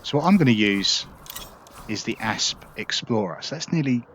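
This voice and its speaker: A man's voice: mid-range voice, animated, moderate pace, fairly bright tone, a little hiss or hum. A man hosting a casual gameplay tutorial, explaining things in a chatty, upbeat way. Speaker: gaming video host.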